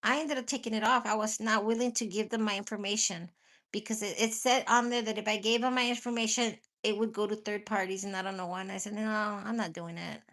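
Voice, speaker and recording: A woman's voice: mid-range voice, steady tone, fairly quick, fairly bright tone. A woman hosting a casual live chat with her viewers, rambling, swearing freely and laughing often, with a stuffy nose and a cough. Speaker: livestream host chatting with viewers.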